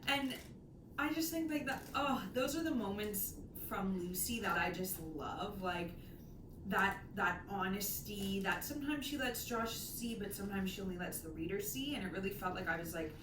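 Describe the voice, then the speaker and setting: A woman's voice: mid-range voice, animated, normal speed. A woman talking casually about books, enthusiastic and emphatic, often wandering off into side remarks. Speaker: co-host of a book discussion channel. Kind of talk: casual chatty book discussion. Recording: echoey room, distant mic, clear background noise.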